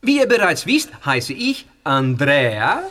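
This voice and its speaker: A friendly teacher. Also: male voice, a little high, very animated, takes it slowly, heavily acted. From northern Italy. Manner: polite, warm, explaining. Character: friendly young Italian history teacher, slightly nervous. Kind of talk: audio drama acting.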